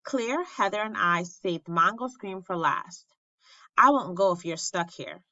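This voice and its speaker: A woman's voice: medium pitch, animated, measured pace, sharp tone, dry recording, dull audio. A woman voicing a stern, protective schoolgirl big sister in a children's storybook, firm and a little bossy.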